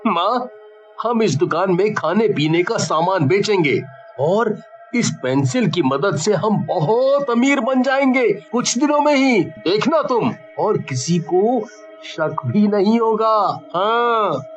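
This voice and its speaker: A man's voice: high-pitched, animated, slow, dull audio, soft background music, voice pushed up. A man voicing an anxious, greedy young son in a dramatic moral storybook, earnest and insistent. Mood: eager, greedy.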